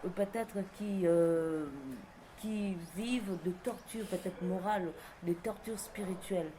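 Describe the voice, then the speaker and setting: A woman interviewer asks questions and keeps the guest going with short acknowledging murmurs. Her voice is mellow and of medium pitch. Faint noise sits behind her. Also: measured pace. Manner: attentive, with frequent "mhm" and short echoes.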